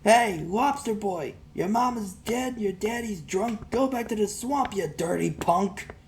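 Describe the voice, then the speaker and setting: A snotty, spiteful rich kid played by a man in an audiobook, pitched well up into a high register. He jeers in a mocking sing-song, with some noise on the recording. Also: a little slow. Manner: taunting, sing-song jeering. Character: jeering, spiteful rich boy bully. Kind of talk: acted audiobook character voice.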